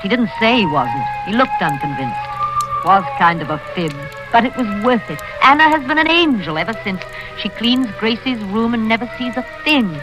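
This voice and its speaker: An actress in a vintage radio drama playing a prim, unflappable, fussy spinster with a genteel, matter-of-fact way of speaking. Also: female voice, medium pitch, close to an everyday voice, rough recording. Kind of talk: 1940s-50s radio drama acting, first-person narration. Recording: vintage radio recording with music.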